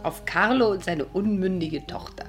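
Actress as a teasing, stubborn young sweetheart. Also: female voice, fairly low pitch, moderate pace, natural voice. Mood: curious, playful. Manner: teasing, dry, playfully evasive. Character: witty, pretty, food-loving young woman, playfully stubborn. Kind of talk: 1950s German radio drama acting. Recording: 1950s radio recording, music behind.

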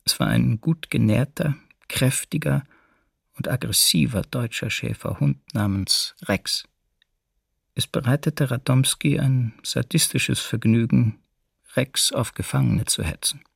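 An actor playing a former camp prisoner, recounting the ordeal in a restrained, deliberate way for a documentary radio drama. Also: male voice, medium pitch, gravelly, slightly rough, dry recording, studio quality, full character voice. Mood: restrained, pained. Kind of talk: documentary radio drama, first-person testimony.

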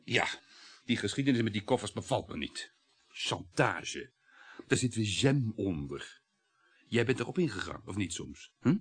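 A man's voice: on the low side, big pitch swings, a little slow, husky, full character voice. A man acting a domineering, middle-aged husband in a Dutch radio play, talkative and sarcastic, needling with sardonic chuckles. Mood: bitter, uneasy.

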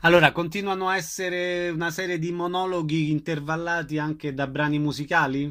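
A man's voice: a little high, flat delivery, brisk, bright, forceful tone. A man interviewing a guest as a radio host.

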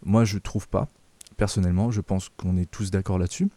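Hesitant French man, mellow dry voice. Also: low voice, steady tone, brisk, dry recording. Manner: hesitant, many fillers and restarts, opinionated. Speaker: film review show host. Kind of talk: casual film review chat.

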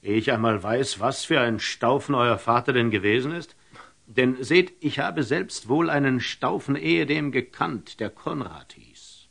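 Nathan, a wise, measured fatherly man. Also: mid-range voice, gravelly, fairly bright tone, breathy edge, full character voice. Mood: cautious, thoughtful. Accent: standard German. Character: wise, gentle, cautious fatherly merchant Nathan. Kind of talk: classical stage verse radio drama.

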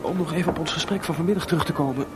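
An actor playing a melancholy, restless composer in a Dutch radio play, hesitant and searching for words, with theatrical delivery. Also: male voice, fairly high pitch, very fast, over loud music, close to an everyday voice. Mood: anxious, probing. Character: Mozart, restless, melancholy composer, proud but adrift.

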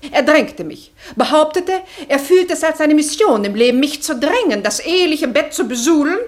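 A woman's voice: sits high, animated, moderate pace. An actress playing a prim, indignant society lady with an affected, genteel manner in a 1960s German radio drama. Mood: scandalised.

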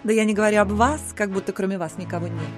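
A woman's voice: medium pitch, very fast, close to an everyday voice. An actress playing a prim, self-righteous provincial society lady who gossips in a haughty, emphatic, insinuating way in an audio drama. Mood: pointed. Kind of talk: theatrical audio drama acting of a literary classic. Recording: music clearly behind the voice.

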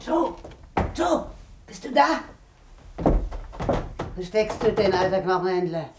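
A woman's voice: mid-range voice, sing-song, slow. A woman acting a poor, grasping laundress in a 1960s German radio drama, coarse and defiant. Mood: impatient, calling. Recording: 1960s radio recording, faint noise.

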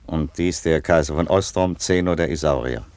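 An actor playing a wry, composed Roman emperor in a radio play, speaking with dry irony. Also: male voice, a bass voice, moderate pace, a little mellow, dry recording. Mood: polite, ironic. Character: wry, unhurried Roman emperor, husband and father. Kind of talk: German radio play acting.

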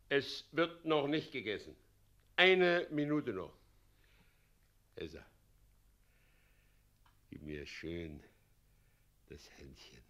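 An actor in a German radio play playing a pompous, volatile city president and bridegroom, theatrical, swinging between coaxing murmurs and barked orders. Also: male voice, low voice, sharp tone, breathy edge, heavily acted. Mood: bossy, indignant.

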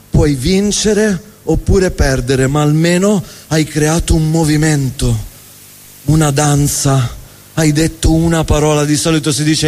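A man performing a theatrical spoken monologue on stage, with emphatic, rhetorical delivery and deliberate repetition. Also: fairly high pitch, darkish tone. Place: live stage in a reverberant venue. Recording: reverberant hall, live stage sound.

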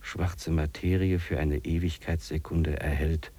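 An actor playing a weary, dreamy wilderness hiker in a radio drama, reflective and poetic, with a gravelly, husky voice. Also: male voice, extremely low pitch, steady tone, measured pace, mellow, full character voice. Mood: solemn, reflective. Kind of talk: 1950s German radio drama acting.

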